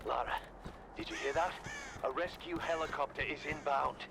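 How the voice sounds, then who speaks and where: A man's voice: fairly high pitch, fairly even intonation, moderate pace, creaky, noisy background. An actor playing a protective older male mentor who gives urgent guidance in a video game. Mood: steady reassurance. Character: Conrad Roth, gruff protective older mentor over radio. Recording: loud game music behind. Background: loud music.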